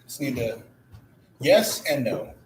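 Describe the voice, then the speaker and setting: A man's voice: medium pitch, big pitch swings, slow pace, slight background noise. A man in his twenties, a musician, answering interview questions in a laid-back, rambling, slangy way. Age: 20s. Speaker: guest musician. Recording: distant mic, loud crowd behind.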